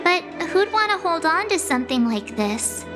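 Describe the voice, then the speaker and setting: A woman's voice: extremely high pitch, very animated, moderate pace. An actress playing a curious young girl adventurer in a game, wondering aloud as she looks through things. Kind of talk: game cutscene voice acting. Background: game soundtrack music.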